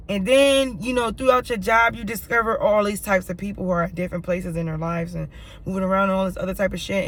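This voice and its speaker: A woman with a raspy, hoarse voice from yelling, talking through a candid personal monologue in an emphatic, repetitive way and swearing freely. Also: medium pitch. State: hoarse, dry throat from yelling. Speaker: vlogger.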